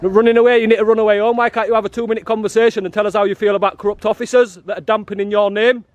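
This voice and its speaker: Loud, blunt man. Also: sits high, level tone, racing, dry recording, phone-quality sound. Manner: loud, blunt and challenging, calls people "love" and "mate". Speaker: activist filming and confronting prison officers. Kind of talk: confrontational street filming with commentary to viewers. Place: outdoors outside a prison.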